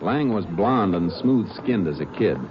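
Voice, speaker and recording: Radio drama role: laconic American Texas Ranger, deep voice, steady, noisy vintage recording. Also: male voice, a little slow, highs cut off. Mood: calm, deliberate. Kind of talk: 1950s radio drama acting.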